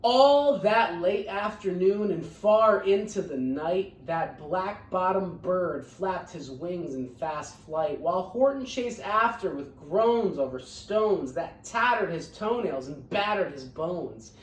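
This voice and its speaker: A woman reading a children's storybook aloud as an expressive narrator. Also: on the low side, takes it slowly, hardly any pauses. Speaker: read-aloud storyteller, teacher. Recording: roomy echo, distant microphone.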